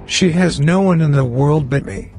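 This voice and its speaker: A man's voice: a little high, normal speed, a little mellow, soft background music, close to an everyday voice. A man playing an anxious, pleading peasant husband in a storybook tale, with a lamenting manner. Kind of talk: storybook character acting.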